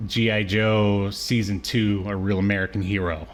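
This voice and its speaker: A man's voice: on the low side, little pitch movement, moderate pace. A man co-hosting a podcast, chatting casually in a rambling, conversational way. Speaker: podcast co-host. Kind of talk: casual chat about cartoons.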